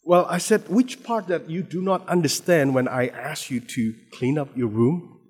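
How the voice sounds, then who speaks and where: A man's voice: a little high, normal speed, darkish tone, husky. A man from Indonesia with an Indonesian accent, preaching a sermon with frequent restarts and repeated words, building to emphatic stress. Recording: long hall reverb.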